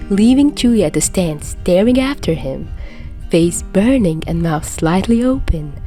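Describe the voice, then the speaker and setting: A woman narrating fan fiction as an audio reader, in an expressive storytelling style. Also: lowish voice. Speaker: podfic narrator.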